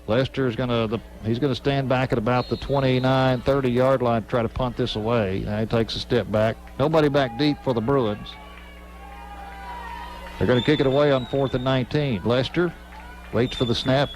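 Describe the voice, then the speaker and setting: A man calling live high school football play-by-play in short, clipped phrases that speed up on big plays. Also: steady tone, normal speed, a little mellow, faint music behind, poor audio. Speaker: play-by-play announcer. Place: outdoor stadium press box.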